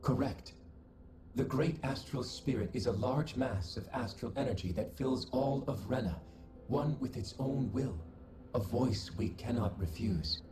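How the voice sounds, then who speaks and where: A man playing an ancient, aloof alien researcher in a video game, speaking in a formal, measured, detached way. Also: mid-range voice, lightly acted. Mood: coldly explanatory. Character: ancient alien researcher, aloof, coldly logical, long-lived. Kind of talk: video game cutscene acting. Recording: echoing voice under loud game music.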